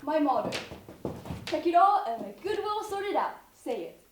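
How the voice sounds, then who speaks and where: A young actress plays an imperious professional woman who gives orders in a high, precise voice. She is haughty and curt, in the manner of stage comedy. The recording is roomy and distant. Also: big pitch swings, normal speed. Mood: commanding. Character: imperious, expensive professional closet organizer, bossy and brisk. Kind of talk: one-act stage comedy acting. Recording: echoey stage from far off, noisy.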